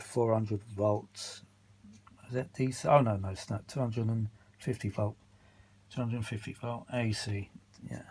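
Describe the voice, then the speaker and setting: A man's voice: on the low side, a little mellow. Tired, grumbling British repairman. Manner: rambling, searching for words, muttering asides; grumbling, mildly sweary, exasperated. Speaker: vintage audio repair vlogger. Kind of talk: casual unscripted workbench repair commentary.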